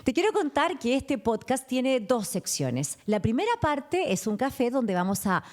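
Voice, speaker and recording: A woman hosting an interview podcast, greeting and questioning her guest in an effusive, expressive way. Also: on the high side, measured pace. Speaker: podcast host. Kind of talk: conversational interview.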